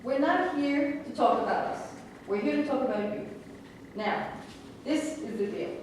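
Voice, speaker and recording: Businesslike, insistent schemer read by an actress, higher voice, staged reading picked up from a distance. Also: female voice, normal speed, close to an everyday voice. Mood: coolly scheming. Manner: insistent, matter-of-fact. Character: pushy, businesslike schemer offering a bribe. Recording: echoey room, distant mic, faint noise.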